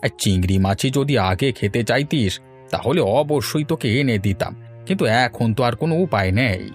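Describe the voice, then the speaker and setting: A man's voice: medium pitch, animated, a little fast, lightly acted. A man voicing a fussy, chiding village father in a melodramatic cartoon, speaking in exclamations. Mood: brusque, chiding. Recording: music behind the voice.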